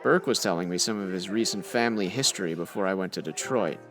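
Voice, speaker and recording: An actor playing a skeptical, wisecracking crime photographer in an old-time radio style, dry and exasperated, with hardboiled slang. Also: male voice, sits low, fast talker, soft background music, close to an everyday voice. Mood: scheming. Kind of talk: old-time radio detective drama acting.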